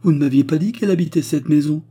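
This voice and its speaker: A man's voice: a little high, fast, dark tone, breathy edge, voice pushed up. A man voicing a proud, distraught noblewoman, a widow who complains in haughty, tearful exclamations, as in an audiobook. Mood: indignant scorn.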